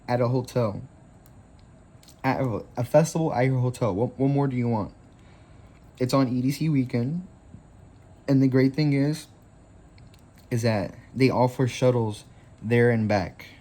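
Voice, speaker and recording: A man's voice: mid-range voice, mellow. A man in his twenties hosting a casual craft tutorial, rambling easily with lots of fillers. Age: 20s.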